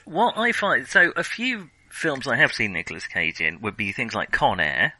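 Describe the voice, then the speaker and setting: A man co-hosting a film podcast, talking casually and thinking aloud with frequent hesitant pauses. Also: mid-range voice, expressive, pushed and bright, no room sound. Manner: hesitant, thinking aloud, pausing often mid-sentence.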